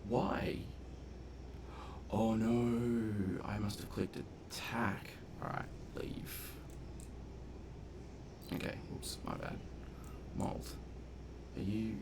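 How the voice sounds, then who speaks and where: A man's voice: lowish voice, steady tone, drawn-out words, creaky, long pauses, noisy background. A man giving casual, playful gameplay commentary, half muttering his reactions to himself. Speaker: game streamer and commentator.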